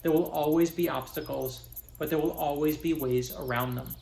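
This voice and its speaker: A man's voice: a little high, fairly even intonation, moderate pace, creaky, a little mellow, roomy sound. A man reading aloud as a determined young girl who is deaf and blind, in an earnest, uplifting way. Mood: encouraging.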